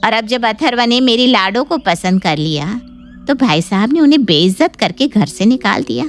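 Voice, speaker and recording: A woman plays a jealous sister-in-law in a cartoon family story. Her fairly high voice is sarcastic, bitter and accusing, over soft music. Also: expressive, normal speed, lightly acted.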